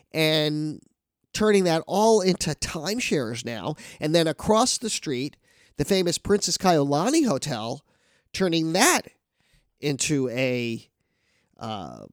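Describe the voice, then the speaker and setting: A man's voice: fairly high pitch, no room sound, studio quality. Nasal, congested man hosting a talk show. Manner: rambling, with many restarts and fillers. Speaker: podcast host. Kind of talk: casual news talk.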